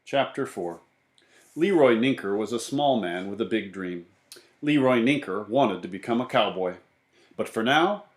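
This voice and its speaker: A man reading a children's storybook aloud in animated narration, recorded in an echoey room. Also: lowish voice. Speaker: book reader.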